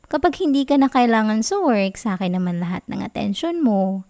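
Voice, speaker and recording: A young woman with a Filipino accent playing a sweet, clingy, gently sulking girlfriend in an intimate ASMR roleplay, soft and whiny in her affectionate pleading. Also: fairly high pitch, animated, fairly quick, no room sound, studio quality. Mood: understanding, tender.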